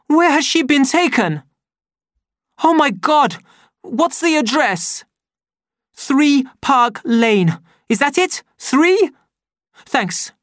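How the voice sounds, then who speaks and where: A raging young man who barks loud and high, as a female narrator puts him on. The voice is raised and strained, close and dry, and swings widely in pitch. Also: sing-song, drawn-out words, pauses often, no room sound, heavily acted. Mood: urgent. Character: wild young man, furious and shouting.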